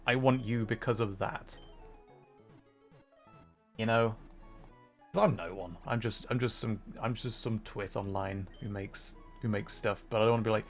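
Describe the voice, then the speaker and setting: A man's voice: fairly low pitch, fairly even intonation, normal speed, pauses often, faint music behind. A man hosting a livestream, chatting casually between bits of game commentary in a hesitant, thoughtful, self-effacing way. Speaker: livestream host, VTuber gamer.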